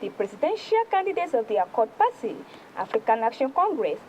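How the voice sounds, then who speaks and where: High-pitched Nigerian woman reading news. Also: big pitch swings, a little fast, darkish tone, a little hiss or hum, poor audio. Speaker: news presenter reviewing newspapers. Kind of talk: read-aloud newspaper review on TV.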